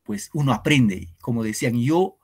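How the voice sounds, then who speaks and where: Guy teaching online class, earnest, kind of reflective, lots of rhetorical questions, average pitch. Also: lively intonation, normal speed, breathy edge. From Peru.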